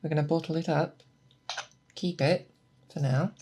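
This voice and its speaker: A performer playing a seasoned monster hunter who patiently instructs an apprentice in a scripted ASMR roleplay, with hesitant, measured check-ins. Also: medium pitch, steady tone, warm tone, a little hiss or hum, close to an everyday voice. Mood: calm, instructive.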